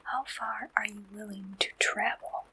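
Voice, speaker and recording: A woman performing an ASMR roleplay, gently and deliberately asking questions like an interviewer. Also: medium pitch, fairly even intonation, a little hiss or hum, poor audio.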